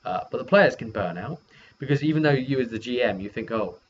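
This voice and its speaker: Male game master and vlogger, casual and halting recap, middle-pitched voice, lo-fi audio with faint noise. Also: expressive. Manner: halting, self-correcting delivery. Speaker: game master and video host. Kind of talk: casual rambling session recap. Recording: dull lo-fi sound with faint noise.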